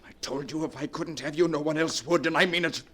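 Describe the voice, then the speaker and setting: An actor playing a desperate, volatile wealthy husband in a 1940s radio melodrama, with an overwrought manner. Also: male voice, fairly high pitch, fast, fairly bright tone. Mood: menacing. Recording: vintage 1940s radio broadcast.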